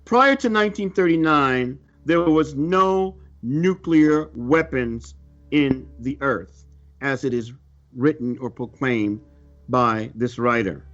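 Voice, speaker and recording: A man reading a scripted religious report aloud in a solemn, deliberate, emphatic manner. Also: medium pitch, a bit bright. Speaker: religious reader of a prophecy report. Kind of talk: scripted narration, read aloud. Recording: dull lo-fi audio under music. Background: music.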